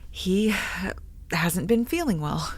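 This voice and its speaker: Earnest grown daughter in an old radio play, questioning everything, lowish voice, really dry sound. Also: female voice, very animated, slow pace, a little mellow, no echo, exaggerated voice. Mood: guarded, defiant. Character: earnest, disillusioned grown daughter, a university researcher. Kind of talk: old-time radio drama acting.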